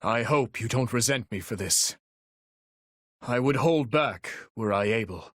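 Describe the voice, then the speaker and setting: A man's voice: mid-range voice, expressive, a bit bright, no room sound, studio quality. A man voicing a noble, earnest young knight-commander in a video game, speaking formally and solemnly with resolve. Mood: reluctant, grave. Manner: formal, measured, earnest delivery. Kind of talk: dramatic video game voice acting.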